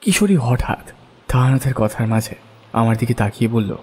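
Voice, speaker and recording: Male narrator for audio fiction, medium pitch, soft dark tone with some huskiness. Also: expressive, moderate pace, husky. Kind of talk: scripted story narration.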